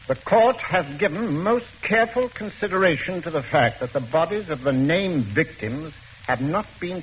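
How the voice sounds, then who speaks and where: An actor playing a formal, pompous judge of a crown court, with hesitant uhs, in 1940s radio acting style. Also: male voice, a little high, fairly bright tone. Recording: dull old radio recording, faint hiss.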